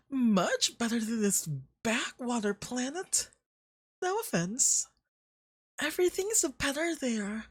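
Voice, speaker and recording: Casting: a woman as a vain, flirty androgynous deity for ASMR roleplay, high and very animated, smugly possessive. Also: no echo, heavily acted. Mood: doting, coaxing. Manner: unhurried, smug, theatrical, doting.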